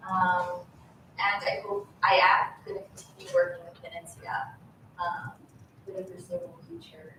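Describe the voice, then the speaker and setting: A young woman with a New Mexican accent, an intern giving halting remarks to a town board, full of fillers. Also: fairly low pitch, big pitch swings, gravelly, fairly bright tone, slight background noise. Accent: New Mexican English. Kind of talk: nervous public remarks. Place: town meeting hall. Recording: distant echoey meeting-room mic.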